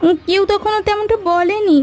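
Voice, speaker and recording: A meek young bride from a village cartoon, voiced by a woman, who still defends herself. Her voice is very high and mellow, polite and plaintive, over light music. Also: fairly even intonation, moderate pace. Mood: hurt, defending. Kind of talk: animated cartoon story dialogue.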